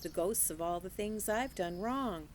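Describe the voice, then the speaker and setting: A woman reading as a slow, gentle old woman. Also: mid-range voice, lively intonation, background noise, natural voice. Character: old wise southern woman, gentle and patient.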